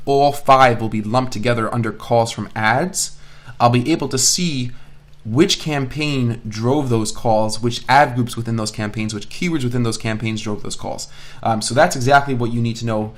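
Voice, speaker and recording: A man instructing an online course in an informal screencast style, explaining as he thinks aloud and repeats phrases for emphasis. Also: mid-range voice. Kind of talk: informal screencast software tutorial.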